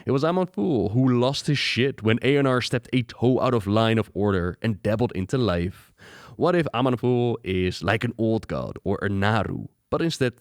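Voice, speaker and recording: A man's voice: fairly low pitch, dry recording. A man hosting a gaming lore video, narrating a script with dramatic rhetorical questions into a close microphone.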